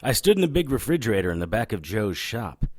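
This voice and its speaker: Deep-voiced, wisecracking noir detective. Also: male voice, very animated, fast, a little mellow, no echo, studio quality. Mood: brooding, dry. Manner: sardonic, flippant. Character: wisecracking, cocky supernatural detective, world-weary and ageless. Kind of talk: noir audio drama narration and dialogue.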